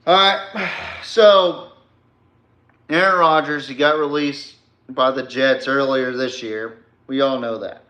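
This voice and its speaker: A man's voice: mid-range voice, steady tone, slow, pushed and bright. A man hosting a casual sports podcast, talking informally to a stream audience in a rambling, emphatic way. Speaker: podcast host. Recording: echoey room.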